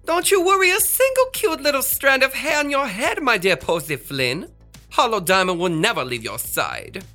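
An actor playing a flamboyant, fussy, excitable radio star with emphatic, theatrical delivery in a children's audio drama. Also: medium pitch, moderate pace, soft background music. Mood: grand, affectionate.

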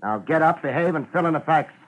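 A man's voice: on the high side, expressive, quick pace, poor audio. A man playing a tough, laid-back private detective, laconic and wry, in a 1950s radio crime drama. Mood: tough, firm. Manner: wry, hard-boiled, laconic. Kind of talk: 1950s radio drama acting with first-person narration. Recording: old 1950s radio recording.

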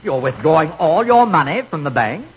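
An actor playing a fussy, polite bank clerk in a 1940s radio comedy. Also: male voice, on the high side, big pitch swings, normal speed. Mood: amazed. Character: fussy, polite male bank clerk, easily amused and astonished. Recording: muffled, noisy 1940s radio recording.

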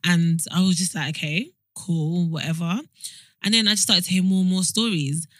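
A woman's voice: on the low side, fairly even intonation, moderate pace, no echo, studio quality. A British woman in her twenties co-hosting a podcast, telling a personal story in a candid, wry way. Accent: British English. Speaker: podcast co-host. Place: podcast studio.

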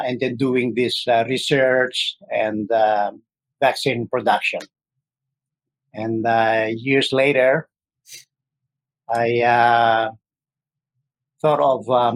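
A man from the Philippines in his sixties, a guest telling his story in a casual interview, often pausing with fillers. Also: medium pitch, no echo, rough recording. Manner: hesitant, frequent uh fillers.

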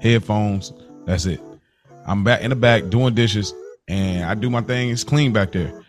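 A man's voice: lowish voice, measured pace, faint music behind. An American man co-hosting a podcast, telling stories in casual, slangy chat and acting out quotes as he goes. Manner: animated retelling with acted-out quotes, slangy. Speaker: podcast co-host.

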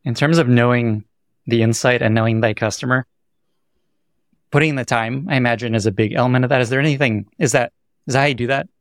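A man's voice: mid-range voice, fairly even intonation, quick pace, dry recording, clean studio sound. A man hosting an interview podcast, asking questions in a conversational way. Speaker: podcast host.

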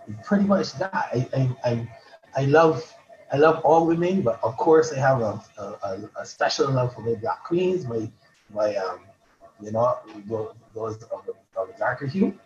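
A man from Barbados, a poet guest in a casual interview, talking haltingly with many restarts and repeated words. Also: mid-range voice, animated, darkish tone, muffled recording.

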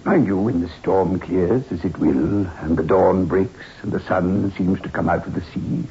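Haunted old widower, low grave voice, courteous and foreboding, for vintage radio horror; muffled, noisy recording. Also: male voice, moderate pace, dark tone. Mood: courteous, wistful. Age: older. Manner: grave, courteous, hesitant storytelling. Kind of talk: 1960s radio horror drama acting.

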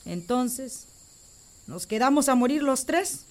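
An actress playing a young pregnant farm wife who speaks in a worried, insistent tone. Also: female voice, sits high, lively intonation, takes it slowly. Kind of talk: radio drama acting.

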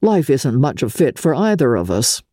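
Woman doing a jaded bohemian writer guy from a classic novel, sardonic, mellow but swoopy. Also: very deep voice, very animated, moderate pace, slightly rough, no echo, studio quality, exaggerated voice. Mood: resigned, bitter. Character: weary, cynical bohemian man of letters, still young.

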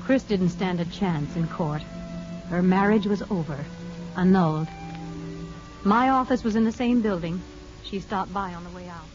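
Over faint music on a dull old broadcast, an actress plays a composed woman narrator with a lowish voice. She is measured and matter-of-fact, in 1950s radio drama style. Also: lightly acted. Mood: measured, grave. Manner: measured, dry, practical.

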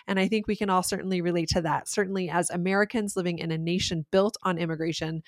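A woman hosting a podcast in an earnest, reflective way. Her voice sits on the low side, and the sound is very dry and close with no room around it. She talks with thoughtful sincerity. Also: no echo. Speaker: podcast host. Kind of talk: podcast intro and interview.

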